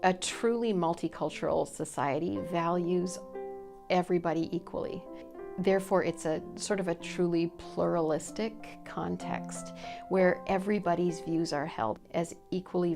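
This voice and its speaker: Woman, interviewee, deliberate, gravelly, lowish, clear background music. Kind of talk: articulate interview answers. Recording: music playing clearly behind.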